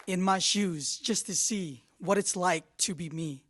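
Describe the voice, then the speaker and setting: Male reader, lightly raised pitch, dramatic verse recitation. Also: a little slow, a crowd in the background, noisy background. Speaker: news reporter reading lyrics. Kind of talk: dramatic spoken reading.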